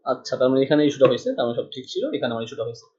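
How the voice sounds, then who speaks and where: A man's voice: mid-range voice, fairly even intonation, rapid-fire, darkish tone. Man talking through code, lo-fi sound. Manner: thinks aloud while typing, mixes English terms. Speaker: programming tutor. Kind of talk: screen-recorded coding tutorial. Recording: dull lo-fi sound.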